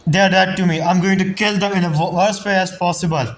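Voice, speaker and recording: A man's voice: sits high, steady tone, a little fast. A man casually commenting over his gameplay in a loose, reactive way, with some grammar slips. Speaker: gameplay streamer. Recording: voice over loud game music.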